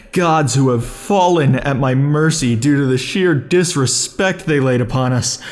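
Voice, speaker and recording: A man voicing an obsessive, possessive male immortal in an ASMR roleplay, talking close to the mic in a slow, intimate, brooding way. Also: medium pitch, a little mellow, natural voice. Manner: slow, intimate, brooding delivery with sudden furious outbursts.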